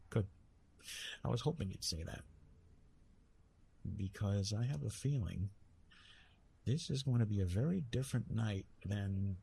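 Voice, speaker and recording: A man playing a retired god of love in an intimate ASMR roleplay, tender and teasing, speaking softly with a husky, breathy voice and halting, reassuring delivery. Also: lowish voice, slight background noise, full character voice. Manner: gentle, reassuring, halting with pauses and self-corrections.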